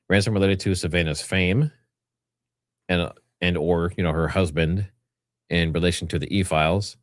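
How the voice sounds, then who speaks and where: A man's voice: low-pitched, no echo, studio quality. A man hosting a livestream, giving informal, rambling commentary and partly reading from notes. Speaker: livestream host.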